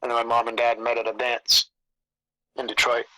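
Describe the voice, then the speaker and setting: Bright-voiced rambling man, dry close sound. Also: medium pitch, completely flat delivery, moderate pace, dry recording, low-quality recording. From Canada. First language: Italian. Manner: rambling, repeats phrases. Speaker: spiritual channel host. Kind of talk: casual spiritual chat.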